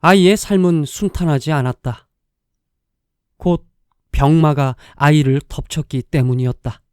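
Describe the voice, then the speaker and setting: A man narrating a scripted dramatic story in a measured, literary way, pausing between short phrases. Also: fairly high pitch, darkish tone, clear, resonant tone, no room sound, studio quality.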